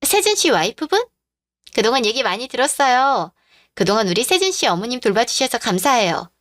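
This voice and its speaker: A brazen mistress in a radio drama, played by a woman pitching her voice up, sweet on the surface and condescending underneath. She sounds shameless and self-assured, and the recording is dry. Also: sing-song, a little fast, fairly bright tone, dry recording. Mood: smug. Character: brazen smug young mistress.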